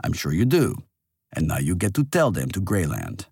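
Ancient artificial king for an audiobook, played by a male narrator: pompous, lecturing, hugely animated pitch swings, close dry sound. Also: moderate pace, creaky, slightly rough, dry recording, heavily acted. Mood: pressing, challenging. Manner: theatrical, lecturing. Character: forceful, pompous male artificial monarch, centuries old, morally certain.

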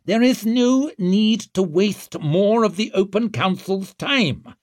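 A man's voice: high-pitched, expressive, takes it slowly, darkish tone, no room sound, clean studio sound, voice pushed up. A man voicing an officious court official in an audiobook, formal and strained, calling out to be heard. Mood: officious, raised. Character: Bruckle and Glockter, officious court officials straining over uproar. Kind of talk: audiobook character acting.

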